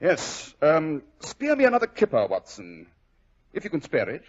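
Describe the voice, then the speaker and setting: Self-assured British gentleman detective, didactic, animated delivery, muffled 1940s radio sound. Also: fairly high pitch, normal speed, background noise. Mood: coolly explaining.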